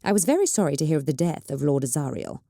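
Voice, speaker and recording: A measured planetary governor speaks with smooth courtesy that carries a veiled threat. A woman reads the part in a low, dark register. The sound is dry and close. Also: sing-song, no room sound, full character voice.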